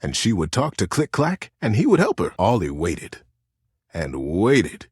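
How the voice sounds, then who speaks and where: A man narrating a children's story as a storyteller, with expressive emphasis and gentle suspense. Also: fairly low pitch, a little slow, slightly rough, dry recording, studio quality.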